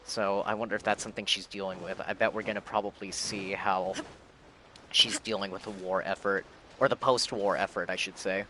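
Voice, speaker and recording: A man giving casual live reaction commentary as a game streamer, musing and halting as he thinks aloud. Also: low-pitched, fairly even intonation, pushed and bright, slight background noise, low-quality recording. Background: faint game music.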